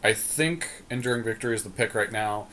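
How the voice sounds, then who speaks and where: A man co-hosting a podcast, casually commentating on a card game draft and reasoning out loud. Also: lowish voice, fairly quick. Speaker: podcast co-host.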